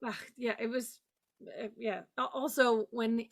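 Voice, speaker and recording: Expressive woman, slightly high voice. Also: unhurried, gravelly. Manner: expressive, emphatic, acts out short quoted reactions. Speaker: podcast panelist. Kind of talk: casual chat.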